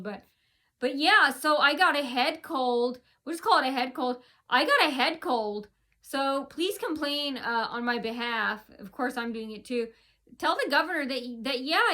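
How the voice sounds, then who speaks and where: A woman's voice: fairly high pitch, sharp tone. A woman vlogger talking straight to her viewers in a long, rambling monologue, full of fillers and restarts.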